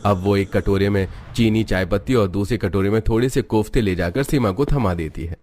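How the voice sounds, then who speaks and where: A man's voice: fairly low pitch, fairly quick, darkish tone. A man narrating a scripted story as a storyteller. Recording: music under the narration.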